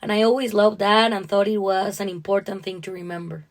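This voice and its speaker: A woman with a medium-pitched voice talks as a podcast co-host. She explains her reading of fiction in an appreciative, conversational way. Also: flat delivery, brisk. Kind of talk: conversational literary discussion.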